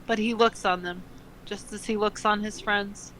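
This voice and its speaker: A woman doing a comedic dramatic reading, mock-earnest and halting, with slangy joking asides and uneven volume. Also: mid-range voice, fairly even intonation, fairly bright tone, slight background noise, low-quality recording. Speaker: co-host reading fan fiction aloud.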